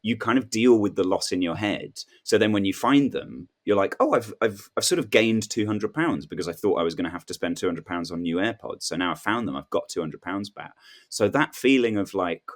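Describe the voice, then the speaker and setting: A man, a comedian guesting on a podcast, telling rambling, self-deprecating anecdotes in a halting conversational way. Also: on the low side, expressive.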